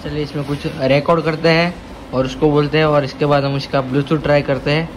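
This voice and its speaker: A man's voice: a little high, little pitch movement, fast talker, a little hiss or hum, low-quality recording. A young man, casually narrating a vlog to his viewers. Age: young adult. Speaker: vlogger. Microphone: phone at arm's length.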